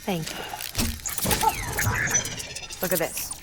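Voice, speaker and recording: An actress playing a weary, tender and pragmatic engineer mother in a video game cutscene, talking in a matter-of-fact, dryly informal way. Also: very slow. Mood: explanatory, serious. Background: music.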